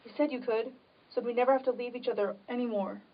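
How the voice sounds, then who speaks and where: A high, earnest voice, a woman acting a timid young woman desperately in love in a stage drama. She sounds pleading and devoted, picked up dully from some distance. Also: steady tone, fast talker. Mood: desperate urging. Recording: dull, distant microphone.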